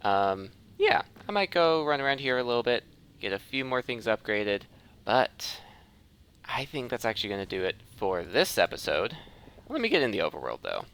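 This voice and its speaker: Lively, clear-voiced man doing game commentary. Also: mid-range voice, normal speed. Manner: rambling, thinking aloud while playing. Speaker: gaming video host. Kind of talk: casual gameplay commentary.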